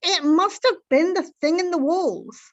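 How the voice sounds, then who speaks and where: A woman's voice: extremely high pitch, a little slow, dull audio, pitched above the performer's own voice. A woman voicing an anxious, defensive young boy in a storybook, protesting and questioning earnestly. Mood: alarmed, puzzled.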